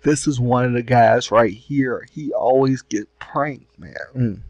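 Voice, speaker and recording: A man talks casually and laughs as he reacts to clips. His voice sits at an ordinary middle pitch. The sound is dry and close. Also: unhurried, darkish tone, no room sound. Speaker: reaction video host.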